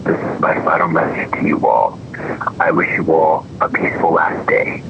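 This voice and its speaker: A man's voice: moderate pace, vocal fry. A man playing a remorseful president giving a solemn, formal doomsday address in a fictional emergency broadcast. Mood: grave, remorseful. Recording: muffled, noisy broadcast with rumble.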